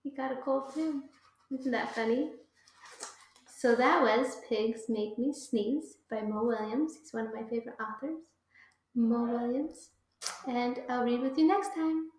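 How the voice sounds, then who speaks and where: A woman reading a children's picture book aloud as an aunt, adding gentle, chatty asides. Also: on the high side, unhurried. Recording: echoey room with faint noise.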